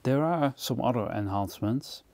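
Male gear-demo presenter, mellow and relaxed tone, explanatory delivery, dry close-miked sound. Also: medium pitch, moderate pace, dry recording. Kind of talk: instructional product overview narration.

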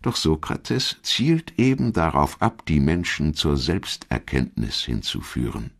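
A man with an exceptionally low voice delivers scripted documentary narration. His storytelling is measured and articulate, with lively, wide-ranging intonation that rises and falls through the sentences. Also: husky.

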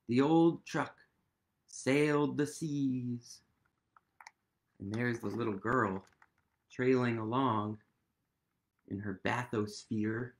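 A man in his thirties reading a picture book aloud to young children, gently and expressively, with pauses and questions. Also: mid-range voice, gravelly. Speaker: storytime reader.

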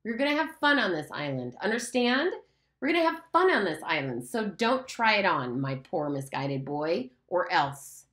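A woman's voice: lowish voice, very animated, moderate pace, fairly bright tone. A woman reading aloud and voicing a mocking, menacing pig's head that speaks as a beast, in a room with a noticeable echo. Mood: threatening. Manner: taunting, condescending, menacing. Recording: roomy echo.

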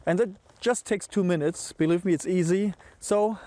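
A middle-aged man giving a casual step-by-step tech tutorial as host, with halting, hesitant phrasing. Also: sits high, dark tone, slight background noise.

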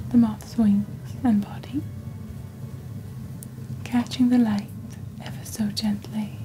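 A woman softly presenting products in a hushed, breathy, gentle and deliberate ASMR style, like a scripted fantasy shopping channel. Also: medium pitch, slight background noise, rough recording. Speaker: host of a witch-themed shopping channel roleplay.

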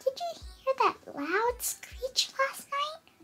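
A small storybook kitten played by a young girl, gentle and eager to care for others. She speaks in a high voice with big rises and falls, at an unhurried pace. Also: sing-song, exaggerated voice, rough recording. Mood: gentle concern. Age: child, about eight. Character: small female kitten, timid, caring and eager.

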